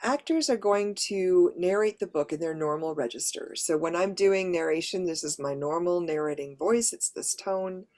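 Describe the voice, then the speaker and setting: Lower-voiced woman giving a workshop talk. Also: normal speed, few pauses, darkish tone. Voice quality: mid to lower female voice. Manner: practical, conversational, explaining step by step. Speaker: workshop presenter and audiobook narrator. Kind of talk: informal workshop presentation.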